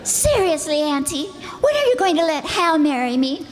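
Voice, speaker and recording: Actress as brash young girlfriend for radio play: very high voice, slangy, outspoken, reverberant stage sound. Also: sing-song, normal speed, a crowd in the background. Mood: pleading, insistent. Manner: breezy, slangy, forthright. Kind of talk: old-time radio drama acting. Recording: echoey hall stage sound.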